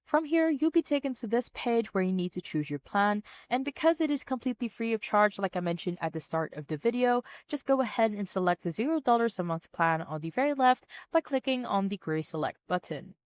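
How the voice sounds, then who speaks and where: Woman doing a software how-to voiceover, mid pitch, plain and instructional, dry close mic. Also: lively intonation, a little fast, few pauses, no room sound. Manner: instructional, orderly, plain-spoken. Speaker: tutorial presenter. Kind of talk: scripted step-by-step software tutorial narration.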